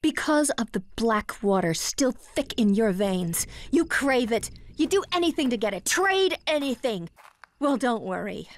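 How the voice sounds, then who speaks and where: A woman's voice: a little high, very animated, measured pace, dry recording. A woman playing a vengeful young warrior in a video game, intense and accusatory. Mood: bitter accusation. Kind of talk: video game drama acting.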